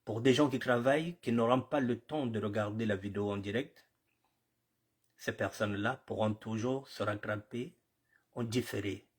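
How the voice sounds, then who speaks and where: A man's voice: on the low side, normal speed, breathy edge. A man with a cold hosting a live commentary show, greeting viewers formally and repeating himself, with Lingala phrases mixed in. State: has a cold. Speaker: online show host.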